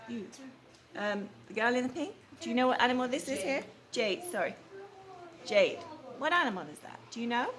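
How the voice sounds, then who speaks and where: A woman kindergarten teacher reading a storybook aloud to young children, prompting them with questions and repeating deliberately. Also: fairly high pitch, animated, crowd noise. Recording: faint background room noise.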